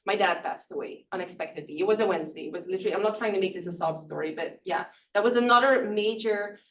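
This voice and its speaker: A woman's voice: mid-range voice, fast, slight background noise. Belgian professor woman lecturing, mic a bit distant. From northern Belgium. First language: Dutch. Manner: conversational, self-deprecating, many fillers and small laughs. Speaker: professor giving award lecture. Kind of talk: public lecture. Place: lecture room.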